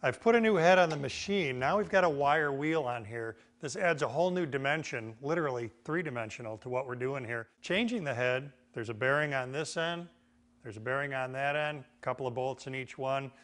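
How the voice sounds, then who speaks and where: Man, middle-aged, American accent, medium pitch, informal folksy explaining, instructional demo. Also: brisk, fairly bright tone. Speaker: woodworking instructor. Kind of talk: instructional demonstration to camera. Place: indoor woodworking shop.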